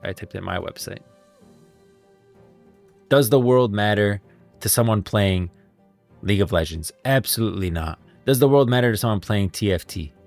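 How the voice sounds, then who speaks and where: Low-pitched man with a gravelly creak. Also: darkish tone, no echo, soft background music. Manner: informal, halting, repeats phrases while thinking aloud. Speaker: streamer, host of a gaming lore stream. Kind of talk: casual livestream chat, reading posts aloud.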